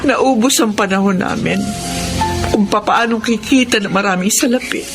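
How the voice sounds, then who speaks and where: A woman's voice: medium pitch, normal speed, over music, lightly acted. An actress playing a worried, humbled woman with money troubles in a Tagalog radio drama. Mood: troubled, regretful.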